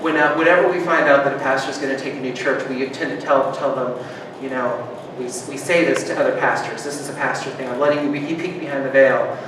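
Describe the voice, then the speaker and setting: A man preaching a sermon as pastor, moving between loud rousing calls and soft hushed reflection, joking easily with his congregation. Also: mid-range voice, a little fast, few pauses. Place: church sanctuary. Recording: distant mic, strong room echo, background noise.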